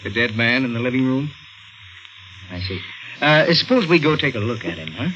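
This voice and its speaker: A kindly but doubting police detective in an old radio drama. His voice is bright and forceful, with lively swings of pitch and small hesitations. The recording is muffled and slightly noisy. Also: moderate pace. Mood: skeptical. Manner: hesitant, soothing, colloquial. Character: patient, skeptical, kindly male police detective. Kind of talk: 1950s radio mystery acting.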